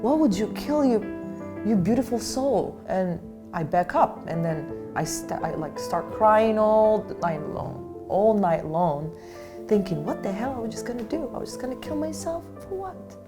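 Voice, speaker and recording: A woman's voice: medium pitch, expressive, normal speed, over music. A woman from Morocco, a panel guest, telling her own story in an earnest, emotional way. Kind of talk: candid personal discussion.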